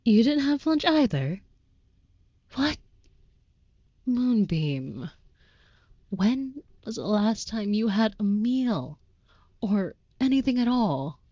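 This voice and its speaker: A woman voicing a devoted, youthful but centuries-old vampire lover in an intimate audio roleplay, speaking softly with breathy, tender, archaic formality. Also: fairly high pitch, sing-song, slow pace, long pauses, no echo, highs cut off, heavily acted. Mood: worried, insistent.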